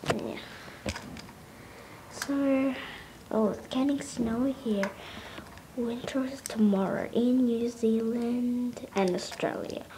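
A woman's voice: fairly high pitch, steady tone, slow, pauses often. A woman talking in a casual vlog, rambling with many fillers and restarts and often dropping into a whisper. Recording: noisy home phone recording.

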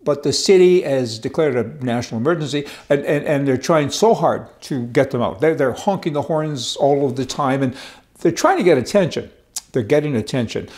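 A man's voice: medium pitch, animated, normal speed, a little mellow. An older man hosting a political commentary show, speaking to camera in a chatty, sarcastic way while reading from papers in a studio. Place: studio with wooden backdrop.

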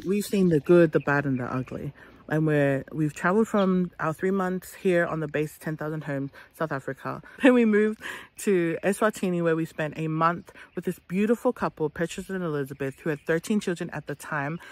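A woman's voice: on the low side, no room sound. A woman around thirty telling a story casually and expressively to camera, with emphatic, chatty asides to listeners. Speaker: storyteller for an organisation. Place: outdoors in dry bushland under a tree. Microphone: phone at arm's length.

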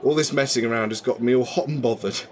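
An actor playing a playful, flirtatious man in a radio drama, with a teasing, animated delivery. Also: medium pitch, fast, natural voice. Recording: music under the voice.

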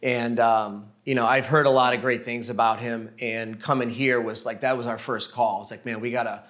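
A man's voice: medium pitch, steady tone, moderate pace, a bit bright. A man in his forties, a chef, talking in a friendly, filler-filled way. He stands indoors in a restaurant beside a colleague. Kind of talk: casual interview.

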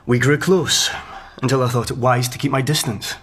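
An actor playing an insinuating, cultured young gentleman tutor in a radio drama, measured and scheming. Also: mid-range voice, fairly even intonation, a little hiss or hum, lightly acted. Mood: insinuating, confiding.

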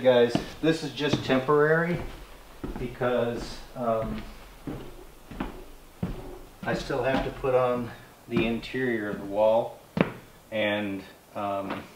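A man's voice: mid-range voice, a little hiss or hum. Middle-aged man explaining as he works. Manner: relaxed, folksy, talks while working with many fillers. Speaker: homesteading vlogger. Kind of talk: casual how-to narration while working. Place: unfinished cabin interior with plastic-sheeted walls. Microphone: camera mic from across the room. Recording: roomy, slightly echoey camera audio.

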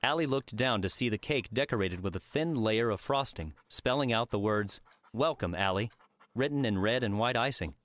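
A man's voice: medium pitch, fairly quick, few pauses, a bit bright, no room sound. A man reading a work of fiction aloud as scripted audiobook narration.

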